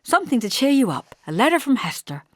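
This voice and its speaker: A woman voicing a dutiful young country daughter in an audiobook, gentle and guarded as she reassures. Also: sits high, very animated, fast talker, no room sound, clean studio sound, pitched above the performer's own voice. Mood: bright. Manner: brightly reassuring, hesitant.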